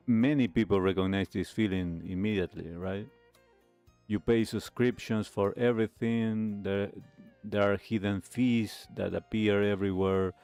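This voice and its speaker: A man's voice: fairly low pitch, darkish tone, clear, resonant tone, no room sound. A man hosting a talk show, giving reflective commentary. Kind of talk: reflective commentary on lifestyle videos.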